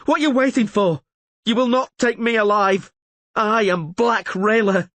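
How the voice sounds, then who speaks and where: A man reading a fierce, defiant woman mercenary who shouts savagely, in a much higher, louder voice. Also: unhurried, no room sound.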